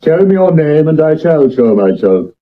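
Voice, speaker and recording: A man acting an imperious, self-assured Victorian gentleman detective in a 1970s radio drama, English-accented, pompous and unruffled. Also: a little high, sing-song, normal speed. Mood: firm. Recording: dull lo-fi vintage radio recording.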